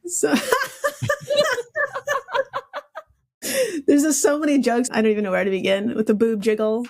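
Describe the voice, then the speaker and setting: A woman's voice: a little high, expressive, slow. A giggly, irreverent woman reading out a comedic quiz casually as a podcast guest.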